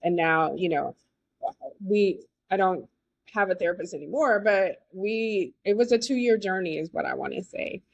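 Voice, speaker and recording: A woman hosting a podcast, listening warmly and affirming her guest often. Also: mid-range voice, expressive, normal speed, vocal fry, no room sound, highs cut off. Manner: affirming, enthusiastic listener, many yeses. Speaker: podcast host. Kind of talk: casual interview conversation.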